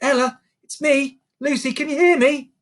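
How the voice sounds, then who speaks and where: A man voicing a determined young girl in a bedtime story, raising his voice high and earnest. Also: fairly even intonation, normal speed.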